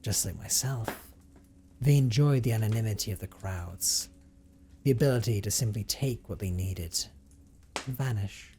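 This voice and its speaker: A man acts out a brooding vampire haunted by guilt, confessing his past in an audio drama. His low voice is measured and formal, often sinking into a breathy hush. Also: noisy background, close to an everyday voice. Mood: wistful, reflective. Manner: measured, hushed, formal storytelling with breathy drops. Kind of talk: dramatic audio roleplay monologue.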